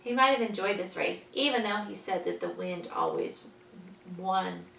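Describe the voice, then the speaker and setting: A woman teaching young children, patiently explaining in simple words and pausing after questions, as in a story lesson. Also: medium pitch, vocal fry, a bit bright. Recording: echoey room, distant mic, faint noise.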